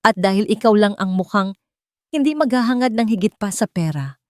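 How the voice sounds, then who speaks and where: A woman's voice: medium pitch, expressive, fairly quick, no room sound, clean studio sound, pitched above the performer's own voice, close to an everyday voice. A woman voicing a cold billionaire male boss with a curt, businesslike manner in a romance story. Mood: blunt bargaining. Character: cold, commanding, desperate billionaire male CEO.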